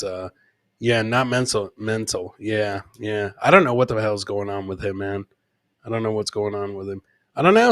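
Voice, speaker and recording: A man's voice: fairly low pitch, a bit bright, no room sound, studio quality. A man hosting a casual live comedy show, chatting and reacting to clips, repeating himself and swearing freely. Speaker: podcast host.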